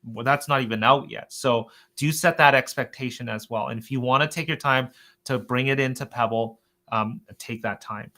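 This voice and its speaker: Guy presenting software updates on a webinar, sharp bright voice, kinda rambling and earnest. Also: mid-range voice, steady tone, moderate pace. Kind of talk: informal product presentation.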